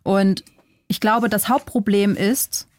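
A young German-born woman author whose first language is Vietnamese, speaking reflectively in a relaxed interview and thinking aloud with small restarts. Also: mid-range voice, slow, no echo, clean studio sound. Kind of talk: casual interview talk.

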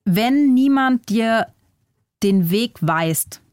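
A young German-born woman author whose first language is Vietnamese, speaking reflectively in a relaxed interview and thinking aloud with small restarts. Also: fairly high pitch, very slow, dry recording, studio quality. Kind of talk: casual interview talk.

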